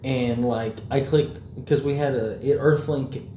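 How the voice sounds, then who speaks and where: A man's voice: medium pitch, fairly even intonation, unhurried, dark tone. A young man in casual chat, rambling loosely with lots of fillers and swearing. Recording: echoey room, faint background noise.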